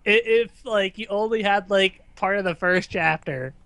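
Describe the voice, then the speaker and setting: A man's voice: high-pitched, moderate pace, fairly bright tone, clean tone, dry recording. A young man co-hosting in casual banter, with a teasing, wry manner. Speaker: co-host.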